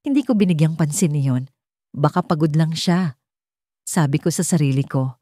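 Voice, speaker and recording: A woman's voice: low voice, normal speed, warm tone, no room sound, clean studio sound. A woman narrating a scripted first-person story as a storyteller.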